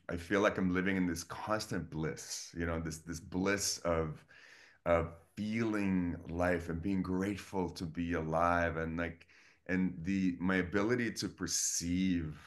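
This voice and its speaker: A man from Estonia, a guest coach, talking earnestly and effusively in a casual interview over a video call. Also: deep voice. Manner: earnest, effusive, many restarts.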